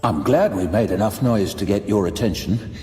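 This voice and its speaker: An actor playing a grim, weary but resolute human archmage in a fantasy audio drama, terse and earnest. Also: male voice, fairly low pitch, moderate pace, a little mellow, faint music behind, natural voice. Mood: urgent. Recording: echoing, reverberant cavern-like sound.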